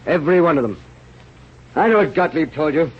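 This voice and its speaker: A man's voice: fairly high pitch, animated, brisk, breathy edge. An actor in a 1930s radio drama playing a boisterous, big-hearted male adventurer and rat catcher, declaiming in bombastic broken English. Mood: defiant, stern. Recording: muffled, noisy old radio recording.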